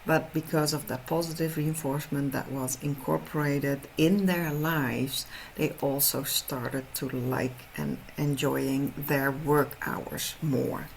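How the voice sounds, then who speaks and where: A woman's voice: deep voice, steady tone, slow pace, vocal fry, slight background noise, rough recording. A middle-aged woman from the Netherlands with a Dutch accent, a horse trainer giving an earnest, explanatory presentation in an online info session. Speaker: horse trainer and program host.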